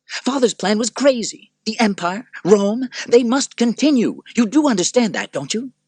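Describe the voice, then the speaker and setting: A man voicing a high, furious young emperor. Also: sing-song, normal speed, a little mellow, slightly rough, dry recording, exaggerated voice. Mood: insistent. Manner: high, strained, building to angry outbursts. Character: young male emperor, unstable, seething rage.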